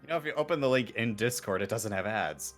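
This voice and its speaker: A man's voice: on the low side, animated, fast. A man chatting casually on a group livestream, given to wry understatement. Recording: music playing behind the voice. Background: music.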